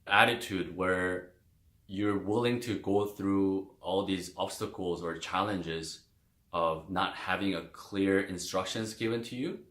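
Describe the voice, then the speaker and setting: A man talks through a career topic in a relaxed, chatty way, sprinkling in "like" and "you know." His voice sits low. The room around him is roomy and echoey. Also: slow. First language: Korean. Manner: loose and friendly, many "like" and "you know" fillers. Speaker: video host explaining a career. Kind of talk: casual explanatory talk to camera. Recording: roomy echo.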